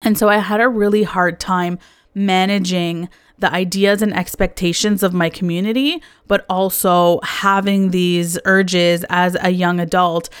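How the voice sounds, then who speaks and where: A woman talks slowly and earnestly in a candid, confessional podcast monologue. Her voice is smooth and clear, sitting somewhat low for a woman. The sound is close and dry, with no room echo. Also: steady tone. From Canada. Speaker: podcast host. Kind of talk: personal reflective monologue.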